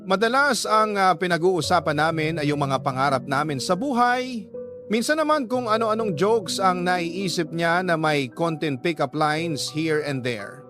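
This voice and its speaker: A young Filipino woman tells her own love story for radio drama. She is conversational and confiding, reserved in character, with clear music under her voice. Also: on the low side, expressive, a little slow. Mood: reflective. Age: young adult. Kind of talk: first-person radio drama narration.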